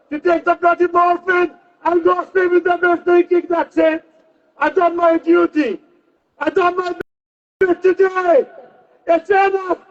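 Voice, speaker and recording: High-pitched man declaiming at a rally. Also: dull audio. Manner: forceful, declamatory, repeats phrases with pauses. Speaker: protest rally speaker. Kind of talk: impassioned political speech at a rally.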